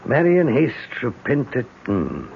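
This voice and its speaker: An actor playing an older, avuncular British police inspector in a 1970s radio drama, musing in an old-fashioned way. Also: male voice, mid-range voice, big pitch swings, takes it slowly, creaky, heavily acted. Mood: musing, suspicious. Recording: tinny, hissy old radio broadcast.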